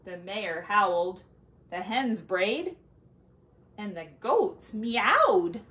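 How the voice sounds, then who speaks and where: Very animated, slow-paced woman reading a storybook. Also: medium pitch. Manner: expressive, dramatic, drawn-out. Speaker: teacher reading aloud to children. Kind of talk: animated storybook read-aloud with chatty asides.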